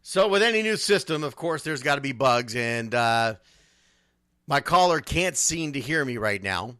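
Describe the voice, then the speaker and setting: A man's voice: mid-range voice, expressive, normal speed, bright, forceful tone, dry recording, studio quality. A man hosting a casual sports talk radio show, speaking emphatically and repeating phrases to drive points home.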